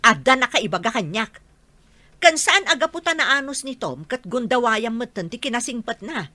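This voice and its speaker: Sharp, scolding Ilocano mother. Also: fairly high pitch, expressive, moderate pace, no echo. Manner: questioning, admonishing. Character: earnest scolding mother, loyal to her husband's earnings. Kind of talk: Filipino radio drama acting.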